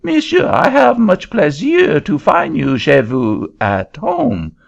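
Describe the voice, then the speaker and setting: A high, excitable Frenchman with a thick French accent, his broken English sputtering out in a gravelly, husky rasp. The recording is dull and lo-fi, like an old audiobook. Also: takes it slowly, full character voice. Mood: polite, puzzled. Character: excitable middle-aged Frenchman swindled in land deal. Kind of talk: audiobook reading.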